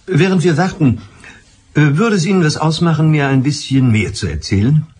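An actor playing a courteous, skeptical police inspector in a German radio play, speaking in a measured, slightly hesitant way. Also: male voice, on the high side, animated, darkish tone, slightly rough. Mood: polite, probing.

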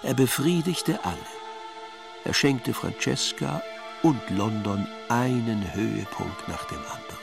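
A man's voice: medium pitch, animated, a little slow, vocal fry. A man narrating a German crime radio play as a wry, detached storyteller with a dry, sardonic manner. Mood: dry, intimate. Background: music.

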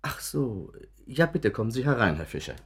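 Radio play lawyer: formal, principled, sceptical, medium-pitched voice with animated intonation. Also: male voice, normal speed, a little hiss or hum. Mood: polite, reserved. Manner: formal, polite, questioning. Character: courteous but sceptical, principled male lawyer. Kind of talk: German radio play acting.

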